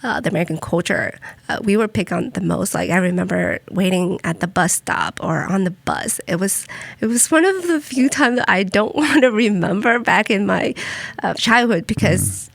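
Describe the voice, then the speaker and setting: A woman's voice: medium pitch, expressive, normal speed, vocal fry, no echo. A young woman from Vietnam, a podcast guest telling personal stories in a reflective way, with many fillers and restarts. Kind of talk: personal storytelling in conversation.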